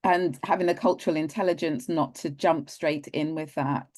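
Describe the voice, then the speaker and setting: A woman's voice: deep voice, steady tone, moderate pace, darkish tone. A woman moderating a panel over a video call, encouraging the speakers and posing questions. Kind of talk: hosting a panel discussion.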